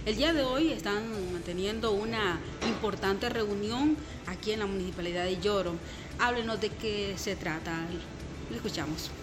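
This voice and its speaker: A woman's voice: medium pitch, normal speed, poor audio. A woman field reporter asking formal questions, addressing her guest by title. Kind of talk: news interview. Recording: loud outdoor ambience.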